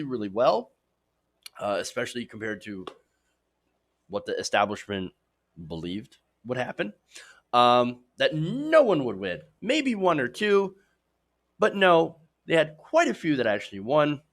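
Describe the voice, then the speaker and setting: Male news commentator, slightly hoarse, lively swooping delivery, opinionated and emphatic, mid-pitched. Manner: emphatic, opinionated, talks straight to viewers. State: slightly hoarse, losing his voice. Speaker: host of a political news show. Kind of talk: progressive political commentary.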